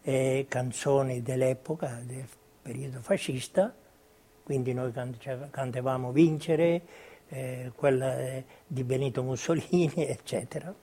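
An elderly man gives unscripted testimony about his own past. His voice has a middling pitch, and he reminisces haltingly, pausing and repeating words as he searches. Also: steady tone, warm tone. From Italian colonial Libya. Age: older, over 70. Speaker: witness recalling his childhood. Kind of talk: spontaneous recorded testimony.

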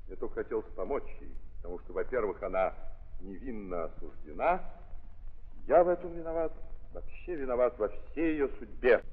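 An actor playing an earnest, guilt-ridden aristocratic nephew, polite but insistent, with a husky voice in 1930s theatrical style. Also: male voice, on the low side, expressive, normal speed. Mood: earnest, remorseful. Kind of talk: 1930s theatrical radio acting. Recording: tinny 1930s recording with hall reverb.

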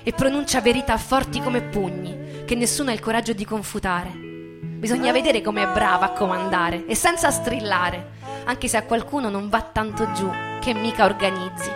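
A woman narrating a literary stage piece with deliberate, expressive phrasing. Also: medium pitch. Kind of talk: dramatic literary narration for theatre. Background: guitar music.

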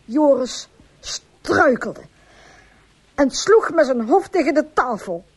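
An anxious, pleading farm wife in a radio drama. Her voice is high and swings widely in pitch. She tells things earnestly and with feeling. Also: lively intonation, slow pace. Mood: distressed, confessing. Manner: earnest, emotional storytelling. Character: distraught, pleading farmer's wife confessing all.

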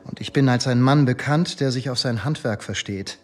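An actor playing a male police inspector in a radio drama, deferential yet self-assured, speaking formally and in a measured, self-justifying way. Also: medium pitch, darkish tone, close to an everyday voice. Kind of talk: German radio drama dialogue.

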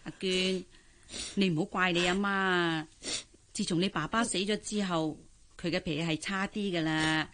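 An actress playing a kindly older aunt in a radio drama, chatty and persuasive, speaking with a Hong Kong Cantonese accent. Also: female voice, on the low side, fairly even intonation, moderate pace, fairly bright tone, a little hiss or hum, close to an everyday voice. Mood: coaxing, reassuring. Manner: coaxing, chatty, with soothing interjections.

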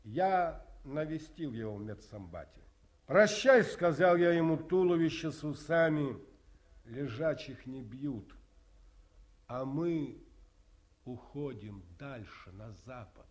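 An actor playing a stern middle-aged army colonel who tells stories with sardonic bite, swinging between hushed and barking. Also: male voice, medium pitch, animated, takes it slowly. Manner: sardonic storyteller, swings between hush and bark. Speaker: actor in a radio play. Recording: 1950s studio radio recording.

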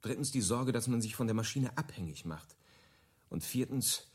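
An actor playing a glib advertising copywriter who talks in punchy lists in a naturalistic radio drama. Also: male voice, mid-range voice, animated, moderate pace, breathy edge. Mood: matter-of-fact. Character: glib male ad copywriter tossing out slogans.

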